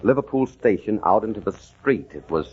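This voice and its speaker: A brooding gentleman veteran haunted by lost memory, in a very low, husky voice. He speaks earnestly, with halting dramatic pauses, on a muffled vintage radio drama recording. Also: animated. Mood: brooding, pained. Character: haunted amnesiac gentleman and war veteran recalling his lost past. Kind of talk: old-time radio drama narration.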